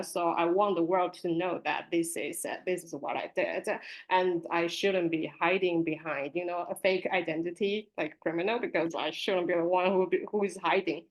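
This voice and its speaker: A woman in her forties from Taiwan, presenting as a teacher librarian and self-published author in a video-call webinar. She talks candidly and earnestly, with soft nervous laughs between phrases. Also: lowish voice, low-quality recording.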